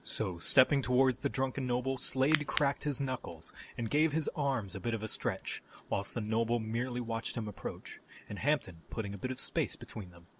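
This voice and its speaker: A man's voice: medium pitch, normal speed, few pauses, a bit bright, slight background noise, natural voice. A man performing as a genial tale-teller, narrating a scripted fantasy story in a dramatic storytelling style. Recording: music behind the voice. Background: music.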